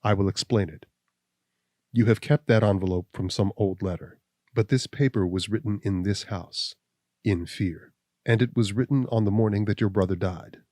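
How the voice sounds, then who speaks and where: A man voicing a stern, implacable accuser who speaks in a deep, level voice, slow and measured. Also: warm tone, dry recording, studio quality, close to an everyday voice.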